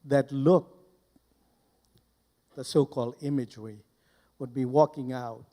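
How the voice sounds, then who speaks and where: An older man from Burma giving informal personal testimony to a live audience as a guest speaker, deliberately and in short, broken phrases. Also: mid-range voice, steady tone, mellow. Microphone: handheld microphone held close.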